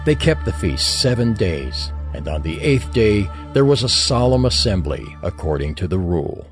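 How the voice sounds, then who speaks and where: A man reading scripture as the narrator of an audio drama, in a measured, formal manner. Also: lowish voice, very animated, soft background music.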